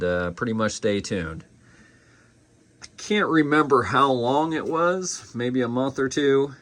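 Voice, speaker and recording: A man giving a casual, unscripted collectible review, thinking aloud with halting pauses. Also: fairly low pitch.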